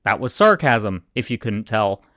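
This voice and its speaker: A man giving scripted video game commentary in a deadpan voice. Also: lowish voice, moderate pace, no room sound, clean studio sound.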